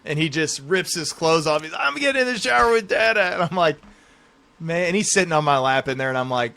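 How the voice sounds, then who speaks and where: A man in his thirties hosts a casual podcast conversation. His voice is clearly bright and forward, sitting a little higher than average. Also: lively intonation, fairly quick. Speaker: podcast host.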